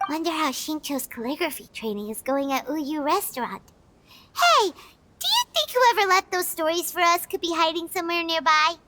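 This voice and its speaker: A woman voicing a small, cheerful, chatty childlike companion creature with a squeaky voice in a video game. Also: very animated, moderate pace, sharp tone, a little hiss or hum, exaggerated voice. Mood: chatty, wondering.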